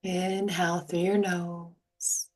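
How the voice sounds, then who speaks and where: A woman leading a guided relaxation as a yoga teacher, speaking in a soothing, gentle, unhurried manner. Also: fairly low pitch, little pitch movement. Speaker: yoga nidra meditation guide. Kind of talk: guided meditation, soft spoken instruction. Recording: distant mic, music behind. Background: soft music or sound bowls.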